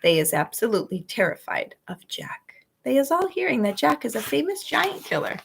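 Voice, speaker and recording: A woman puts on a gentle, friendly giant who keeps his voice low and secretive. He talks in a soft half-whisper with a gravelly texture. He bends his grammar in an oddly endearing way. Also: lively intonation, moderate pace, a little hiss or hum. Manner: hushed, quietly secretive.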